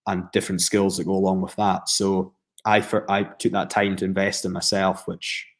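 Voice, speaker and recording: Deep flat-voiced Scottish man. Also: moderate pace. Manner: reflective, steady, with frequent fillers and restarts. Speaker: professional footballer guest. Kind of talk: podcast interview answers.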